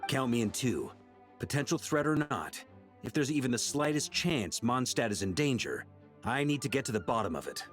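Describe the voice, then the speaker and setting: A protective knight in a game who says what he thinks. His mid-pitched voice moves a lot in its melody. Light music sits behind him. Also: sing-song, moderate pace, fairly bright tone. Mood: serious. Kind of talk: video game cutscene dialogue.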